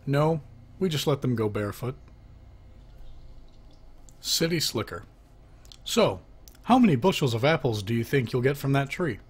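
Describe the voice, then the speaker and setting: A man's voice: mid-range voice, animated, moderate pace, pauses often, breathy edge. A man reading a children's joke book aloud, announcing who speaks before each line and lifting his voice for the questions. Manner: lifts his voice for the asking half of knock-knock jokes.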